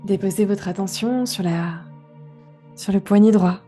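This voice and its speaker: A woman guiding a relaxation meditation, giving gentle, unhurried instructions with pauses. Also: medium pitch, soft background music. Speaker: yoga nidra guide.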